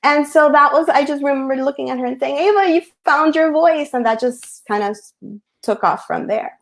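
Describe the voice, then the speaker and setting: Author, woman, Spanish-first speaker, animated hesitant chat over a video call. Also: sits high, moderate pace, creaky. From United States. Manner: animated, hesitant with many ums. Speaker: picture book author. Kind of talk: casual webinar talk.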